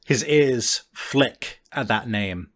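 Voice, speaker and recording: A man acting as game master, improvising narration for a tabletop roleplaying game in an informal, halting, explanatory way. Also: mid-range voice, a bit bright.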